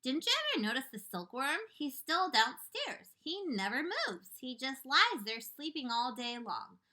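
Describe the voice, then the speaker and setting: A woman's voice: high voice, big pitch swings, unhurried, bright, forceful tone, pitched above the performer's own voice, exaggerated voice. A woman voicing a kindly old male grasshopper in a read-aloud storybook, speaking patiently. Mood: reasoning.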